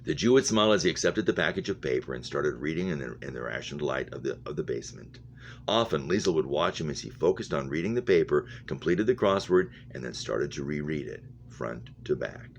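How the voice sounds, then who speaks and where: Man, very low voice, reading a story aloud, stumbling delivery, humming background noise. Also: animated, a little fast. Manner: stumbles over words and rereads phrases. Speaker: reader, English teacher. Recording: muffled lo-fi audio with humming noise.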